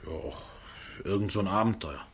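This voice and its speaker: An actor playing an evasive, offhand ne'er-do-well accused of a crime in a radio drama, answering hesitantly. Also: male voice, low voice, moderate pace, slight background noise.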